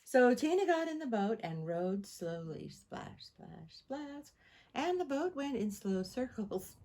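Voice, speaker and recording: A woman reading a picture book aloud to children in an expressive, animated storytelling style. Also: a little high, slow pace. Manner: animated, repeats sound words.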